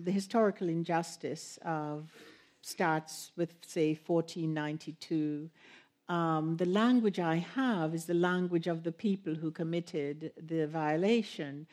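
A woman on a panel, answering an audience question in a halting, thoughtful way with many pauses and restarts. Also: lowish voice, a little mellow. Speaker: guest author on panel. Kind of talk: slow reflective answer to audience.

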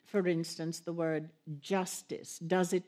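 Woman on a panel, talks real slow, low-ish voice, lots of pauses and restarts. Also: little pitch movement, warm tone. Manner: halting, thoughtful, many pauses and restarts. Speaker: guest author on panel. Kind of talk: slow reflective answer to audience.

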